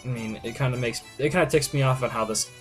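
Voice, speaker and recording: A man's voice: medium pitch, fast, a bit bright. Male game playthrough host, mid-range voice, loose hesitant delivery, faint music underneath. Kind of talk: casual gaming commentary.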